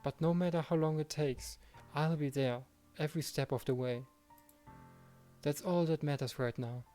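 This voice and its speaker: A man voicing an earnest, devoted teenage boy in love, reading a visual novel aloud in a gentle, sincere way. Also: on the high side, moderate pace, soft background music, close to an everyday voice. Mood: reassuring.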